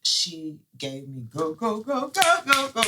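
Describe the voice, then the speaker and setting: A woman guest with a low, husky, hoarse voice, telling stories in a heartfelt, animated way in casual chat. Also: a little slow, heavy background noise.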